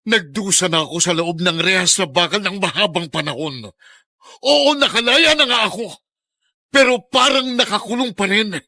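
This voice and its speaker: A woman's voice: mid-range voice, expressive, moderate pace, pushed and bright, no echo. A woman playing an anguished, bitter former prisoner in a melodramatic radio drama.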